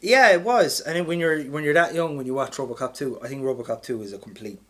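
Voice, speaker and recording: A man with an Irish accent and an average-pitched voice chats as a podcast co-host. His talk is loose, rambling and colloquial, with plenty of swearing. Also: quick pace, a bit bright. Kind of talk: casual chat.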